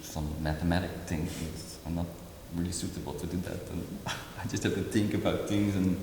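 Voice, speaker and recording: A man in his forties, originally from Indonesia, speaking in an interview as an artist, halting with many fillers and restarts. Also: low-pitched, fairly even intonation, darkish tone. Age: 40s. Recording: echoey hall with faint noise.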